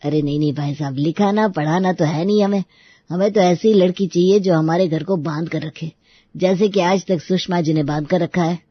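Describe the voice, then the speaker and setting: A woman putting on a husky, traditional father-in-law. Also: fairly low pitch, normal speed, dry recording, lo-fi sound, pitched below the performer's own voice. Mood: condescending. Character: older, traditional, condescending prospective father-in-law. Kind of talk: dramatised family story.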